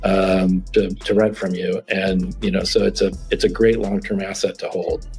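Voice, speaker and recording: Man with a deep voice and little intonation, hesitant conversational explaining, faint music behind. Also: little pitch movement, normal speed, creaky, a little mellow. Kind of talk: explanatory talk.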